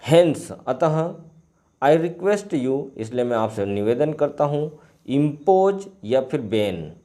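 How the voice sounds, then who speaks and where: A man with an Indian accent, a native Hindi speaker, teaching line by line and repeating phrases deliberately as he translates. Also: medium pitch, very animated, dark tone. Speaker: English teacher. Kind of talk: line-by-line bilingual lesson, reading and translating.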